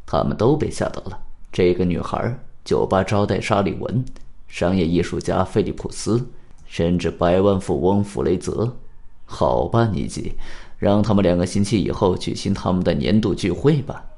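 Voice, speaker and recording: Guy doing a calm clever detective, deep creaky voice, formal radio play delivery. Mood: musing. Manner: measured, deliberate, formal. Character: calm, shrewd male detective, probing and cautioning. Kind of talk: radio drama acting.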